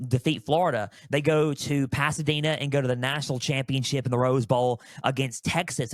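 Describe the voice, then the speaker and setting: A man's voice: mid-range voice, no echo, studio quality. A man in his early twenties talking solo as a sports podcast host, conversational and storytelling, repeating phrases for emphasis.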